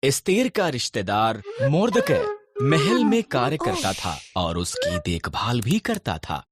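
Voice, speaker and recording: A narrator telling scripted Bible stories for children in a deliberate storytelling delivery. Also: medium pitch, huge pitch swings, heavy background noise. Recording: background music under the narration. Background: music.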